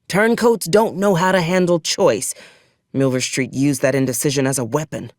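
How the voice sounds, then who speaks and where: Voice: mid-range voice, normal speed, no echo, lightly acted. An actor playing a hard-bitten, sardonic old gun hand of a military officer in a video game, with dry, folksy, formal frontier phrasing. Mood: sardonic, contemptuous. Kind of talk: video game character dialogue.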